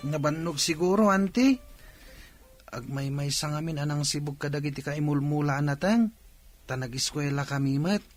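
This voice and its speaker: A woman's voice: low-pitched, normal speed, soft background music. Earnest teenage boy, acted by a woman. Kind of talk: Ilocano radio drama acting.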